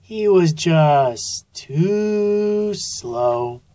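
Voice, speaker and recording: A woman narrating a children's story expressively and deliberately, drawing out key phrases, recorded in a studio. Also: fairly low pitch, lively intonation, warm tone. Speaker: author reading own children's book.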